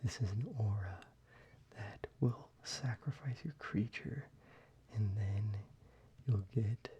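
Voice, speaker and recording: A man whispering softly and unhurriedly, with fillers, as he shows cards to the viewer for ASMR. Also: on the low side, slow, husky, lo-fi sound. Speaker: ASMR creator. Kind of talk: soft-spoken ASMR card deck showcase.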